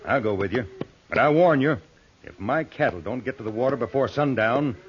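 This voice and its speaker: A man's voice: mid-range voice, expressive, fairly quick, close to an everyday voice. A stubborn, feisty older cattleman acted by a man in a vintage radio western. His male voice sits mid-range on a dull, slightly noisy old recording. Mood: gruffly stubborn.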